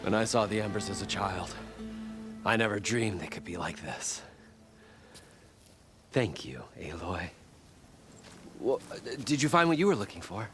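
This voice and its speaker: An actor playing an earnest, excitable leader of video game adventurers, effusive and stammering when flustered. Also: male voice, fairly low pitch, measured pace, pauses often, over music. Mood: grateful, moved. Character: excitable, earnest male leader of treasure-hunting adventurers, awed and grateful. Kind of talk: video game dialogue acting.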